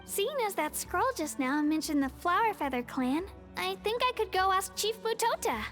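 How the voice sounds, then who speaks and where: A woman's voice: extremely high pitch, expressive, normal speed, fairly bright tone, soft background music. A woman voicing an earnest, eager young girl in a video game, speaking a little hesitantly. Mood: curious, earnest.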